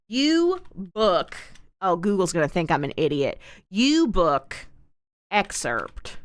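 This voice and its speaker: A woman livestreamer chats off the cuff with a wry delivery and a very lively, swooping pitch. She stretches and repeats words for exaggerated emphasis. The sound is dry, with very little room in it. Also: dry recording. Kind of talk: casual rambling chat to stream viewers.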